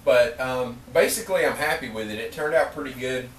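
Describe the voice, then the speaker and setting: A man in his thirties casually narrating a woodworking how-to in his workshop, relaxed and explanatory, with frequent fillers and restarts. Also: medium pitch, fairly quick, slight background noise. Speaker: woodworking vlogger. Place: workshop shed.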